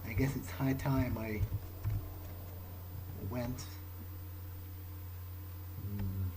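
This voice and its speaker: A man role-plays a brooding, guilt-ridden vampire in a video game playthrough. He talks slowly and hesitantly at a medium pitch, stopping to repeat words. Some background noise sits under the voice. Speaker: let's player role-playing in character. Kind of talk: in-character improvised game monologue. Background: video game ambience.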